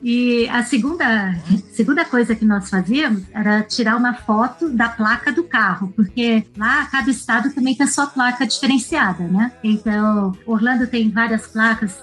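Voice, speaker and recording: A woman's voice: a little high, normal speed, few pauses, fairly bright tone, poor audio. A Brazilian woman telling travel stories casually as a podcast guest, chatty and often seeking agreement with tag questions.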